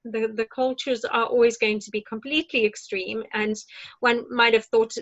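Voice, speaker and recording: A woman with a somewhat high voice shares her experience on an online panel. She talks earnestly, pausing and restarting often. The audio is muffled and lo-fi, like a video call. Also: fairly even intonation, a bit bright. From moved abroad to Ireland. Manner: earnest, reflective, many restarts and ums.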